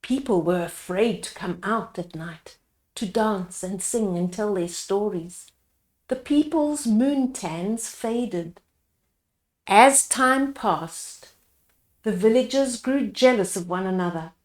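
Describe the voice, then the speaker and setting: A woman reading a children's picture book aloud as a storyteller, pausing to explain the pictures to young listeners. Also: medium pitch. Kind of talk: read-aloud storytime narration with asides to children.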